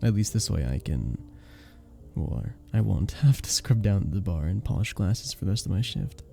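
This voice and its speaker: A man playing a charming, flirtatious vampire bartender in an ASMR roleplay, speaking to the listener with teasing, unhurried pauses. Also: sits low, big pitch swings, gravelly, mellow, soft background music, heavily acted. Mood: courteous, flirtatious.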